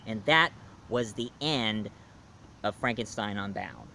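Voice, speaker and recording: A man reviewing a film in a casual, animated way, retelling the plot and acting out scenes as he goes. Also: fairly low pitch, slow, vocal fry, a little hiss or hum. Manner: animated storyteller, acts out scenes.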